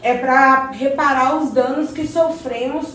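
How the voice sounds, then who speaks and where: A woman's voice: high-pitched, fairly even intonation, measured pace, fairly bright tone. Earnest younger neighbour woman actor, echoey and distant. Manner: earnest, inquiring, respectful. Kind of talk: scripted community radio drama. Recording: very echoey, distant mic, faint noise.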